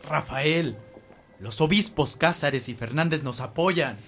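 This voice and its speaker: An actor playing an earnest, supportive companion of a priest in a radio drama. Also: male voice, fairly high pitch, slow pace, fairly bright tone, faint music behind. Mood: encouraging.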